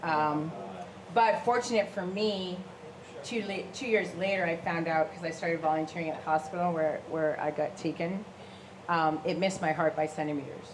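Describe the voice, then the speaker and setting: A woman's voice: fairly low pitch, moderate pace. Woman with a big voice, noisy room. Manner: big voice, animated, gestures while talking. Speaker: shark attack survivor and guest speaker. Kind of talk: storytelling talk to a live audience. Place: room with a live audience. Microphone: handheld microphone.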